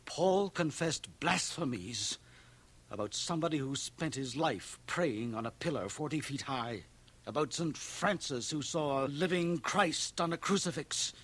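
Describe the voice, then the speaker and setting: Stern pious male minister, righteous, sermonizing, slightly high voice, radio drama. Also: measured pace, fairly bright tone. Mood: accusing, righteous. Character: stern, pious, puritanical small-town minister and father. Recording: 1980 radio drama from home cassette transfer.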